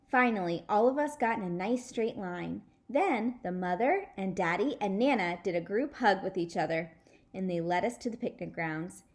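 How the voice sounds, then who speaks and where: Woman reading a children's story aloud. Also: mid-range voice. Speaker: storybook reader. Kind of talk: animated children's read-aloud.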